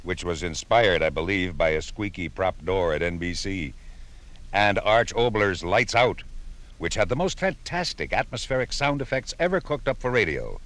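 A man's voice: sits low, expressive, normal speed, fairly bright tone. A man hosting a radio documentary with scripted, nostalgic narration in a conversational, wry way.

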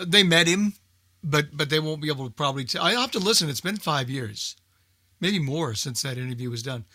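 A man hosting a casual, unscripted radio talk show, speaking in a halting way and repeating words as he thinks. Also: fairly high pitch, lively intonation, bright, forceful tone.

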